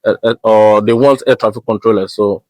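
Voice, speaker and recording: A man's voice: lowish voice, fairly quick, no room sound. Ghanaian man explaining his training. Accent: Ghanaian English. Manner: relaxed, explanatory, frequent fillers and restarts. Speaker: air traffic controller guest. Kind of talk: casual podcast conversation.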